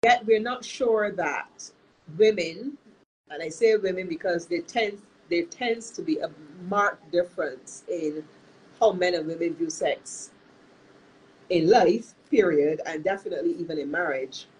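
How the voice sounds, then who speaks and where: A woman hosting a live-streamed talk as a counselor, sounding nasal from illness and speaking in an earnest, measured way with frequent restarts. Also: fairly low pitch, a little mellow, slight background noise, rough recording. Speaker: host and counselor.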